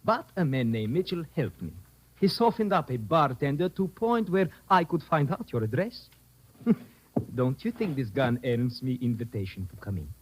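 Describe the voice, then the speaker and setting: A man's voice: mid-range voice, very animated, normal speed, natural voice. A man playing a small, smugly polite foreign villain in an old radio drama, using mock courtesy and broken English. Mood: smug menace. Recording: noisy old radio recording.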